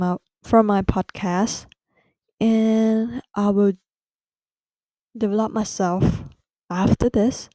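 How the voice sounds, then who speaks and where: Thai-accented woman hosting a study podcast, slow and halting, mellow tone, dry close sound. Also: medium pitch, no room sound. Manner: careful, halting second-language delivery with restarts. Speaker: podcast host. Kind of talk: educational podcast explanation.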